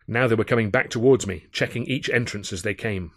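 A man reading a scripted first-person comic adventure, wry and expressive as a storyteller. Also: lowish voice, brisk, no echo, studio quality. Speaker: author narrating own audiobook.

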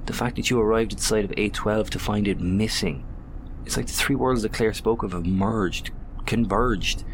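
Man doing a grave measured guy, really deep voice, noisy. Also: lightly acted. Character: calm, measured man puzzling out a mystery. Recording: noisy recording.

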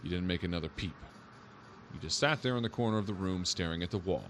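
A man's voice: deep voice, fast, fairly bright tone, slight background noise. An actor playing a patient, bemused male police officer in a naturalistic audio drama, questioning in a conversational, hesitant way. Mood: bemused recounting.

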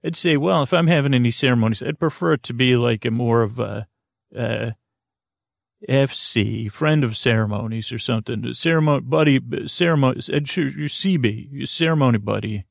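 A man hosting a sleep podcast in a rambling, improvised bedtime monologue, halting and meandering as he trails off mid-thought. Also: medium pitch, no room sound, studio quality. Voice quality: creaky.

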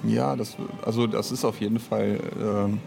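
A man giving a casual interview as an audio drama writer and director, speaking in a hesitant, measured way with pauses. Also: fairly low pitch, little pitch movement, vocal fry, darkish tone, a little hiss or hum.

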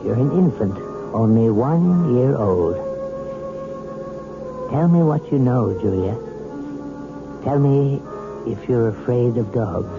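An actor playing a calm male hypnotist who talks in a soft, measured, persuasive way, in a 1970s radio drama. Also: mid-range voice. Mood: coaxing. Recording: tinny old radio broadcast with music.

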